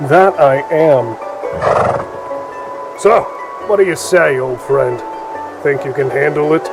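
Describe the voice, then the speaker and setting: High-pitched, boisterous blacksmith. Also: male voice, takes it slowly, rough recording. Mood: challenging. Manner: hearty, jesting. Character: boisterous, grumbling male blacksmith, friendly rival. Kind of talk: fantasy audio drama acting. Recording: music behind the voice.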